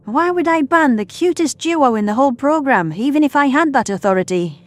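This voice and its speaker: A woman playing a patient human scientist in an audio drama, explaining things in a measured, firm way. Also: sits high, expressive. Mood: firm, friendly. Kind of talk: audio drama character acting. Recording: music under the voice.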